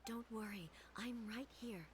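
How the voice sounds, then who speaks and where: Woman doing a calm solemn queen type in a video game, formal and assured, noise behind her. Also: a little high, lightly acted. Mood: soothing, solemn. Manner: measured, formal, composed. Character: calm, stately female ruler, solemn and assured. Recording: noisy game ambience behind.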